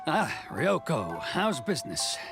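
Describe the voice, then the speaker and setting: A man's voice: a little high, wildly swooping pitch, measured pace, music in the background, full character voice. A man voicing a smug, self-important tax collector in a video game, haughty and given to musing aloud.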